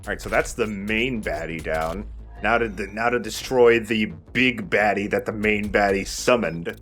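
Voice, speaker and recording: A man with a fairly low voice hosts a let's play over game music. He explains the play in a casual, conversational way and swears mildly now and then. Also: measured pace, a bit bright. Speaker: let's play host and player. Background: video game music.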